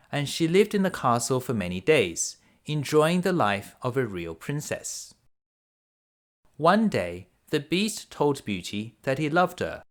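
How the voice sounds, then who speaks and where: Expressive man reading a bedtime story. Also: mid-range voice. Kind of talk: scripted fairy tale narration for children.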